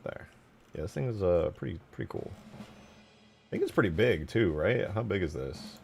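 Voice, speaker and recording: Male streamer, slightly deep voice, loose slangy chat, excitable, faint music underneath. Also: normal speed, creaky. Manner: informal, slangy, swearing, easily excited. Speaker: live streamer and game modder. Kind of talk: casual livestream chat while demonstrating game mod work.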